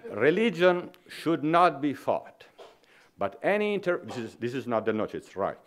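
A middle-aged man with an Italian accent gives an academic conference lecture at a podium, reading dense prose in a measured way with small restarts. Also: medium pitch, big pitch swings. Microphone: podium microphone.

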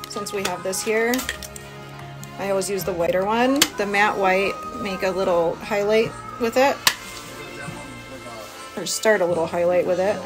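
Woman, thirties, medium pitch, informal beauty vlogger, chatty step-by-step explaining, phone mic, music behind. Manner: chatty, informal, explaining while busy applying makeup. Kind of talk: casual makeup tutorial chat. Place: small cabin room by a window. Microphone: phone at arm's length.

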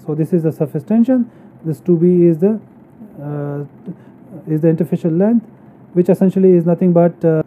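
A narrow, phone-like recording carries a man's lecture. He teaches engineering in an explanatory way, moving step by step. His delivery is halting as he works through each point. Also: high-pitched. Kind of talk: explanatory technical lecture over slides.

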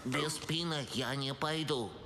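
A man voicing a timid, devoted animal friend in an animated film, stammering hesitantly and earnestly. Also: fairly high pitch, measured pace, slight background noise. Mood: timid, stubborn. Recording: echoey, loud music behind.

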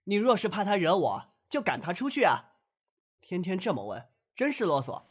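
Casting: haughty, touchy young gentleman played by a male actor, husky edge, very animated delivery. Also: high-pitched, normal speed, exaggerated voice. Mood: peevish. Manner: haughty, archaic turns of phrase. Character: proud, petulant young nobleman, easily offended. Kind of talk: audio drama acting.

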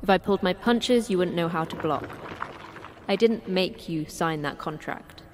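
An actress playing a terse, guarded manager of a secret facility in an audio drama, speaking in a measured, blunt way. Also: female voice, on the low side, noisy background, lightly acted.

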